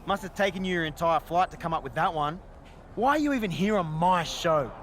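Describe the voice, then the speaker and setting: Game role: taunting, sarcastic male wrestler, raised pitch. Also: animated, brisk, a bit bright, a little hiss or hum. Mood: mocking. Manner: sarcastic, mocking. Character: cocky, taunting male wrestler guarding his turf. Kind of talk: video game cutscene dialogue.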